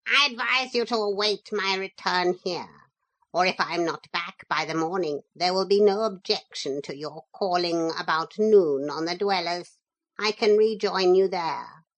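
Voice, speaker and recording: A woman's voice: fairly low pitch, a little slow, natural voice, low-quality recording. Woman doing a polite, menacing parrot. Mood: courteous. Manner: polite, formal, coolly threatening. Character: proud, courteous male parrot with a sharp beak.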